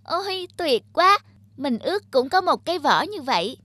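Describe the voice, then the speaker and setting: High-pitched naive little caterpillar. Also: female voice, sing-song, normal speed, bright, forceful tone, no echo, clean studio sound, exaggerated voice. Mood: admiring, wishful. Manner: exclamatory, childlike. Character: small naive, excitable caterpillar. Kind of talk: animated fairy-tale dubbing. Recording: music playing behind the voice.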